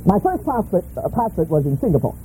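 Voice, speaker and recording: A middle-aged man preaching a sermon in short, emphatic phrases with touches of humor, pausing for an interpreter after each one. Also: high voice, big pitch swings, mellow, slight background noise, rough recording. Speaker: guest preacher. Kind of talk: sermon delivered through an interpreter.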